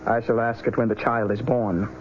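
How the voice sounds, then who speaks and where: An actor in a radio drama playing an ominous fortune teller driving a bargain, measured, formal and warning. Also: male voice, medium pitch, little pitch movement, natural voice. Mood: ominous, insistent. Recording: dull, noisy, humming radio recording.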